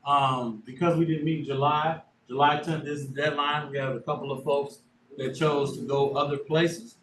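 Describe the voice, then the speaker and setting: A man's voice: a little high, little pitch movement, moderate pace, a bit bright. A man speaking as a school superintendent at a board meeting, casual and folksy in manner. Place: meeting room. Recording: echoey room, distant mic, faint noise.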